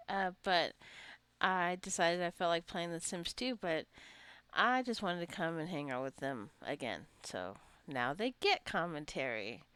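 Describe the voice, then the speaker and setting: Female gaming creator, slightly low and smooth, casual and rambling, talking as she plays. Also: a bit bright, no echo. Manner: rambling, chatty asides. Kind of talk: casual gameplay commentary.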